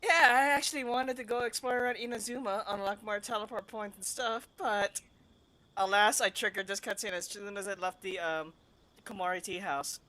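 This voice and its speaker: A woman's voice: mid-range voice, fairly even intonation, normal speed. A woman game streamer giving casual, informal livestream commentary with hesitant phrasing. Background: loud game music.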